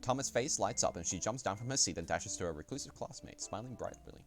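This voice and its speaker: A man's voice: lowish voice, lively intonation, fast talker, soft background music. A man reading a visual novel aloud on a stream, playful, with offhand asides.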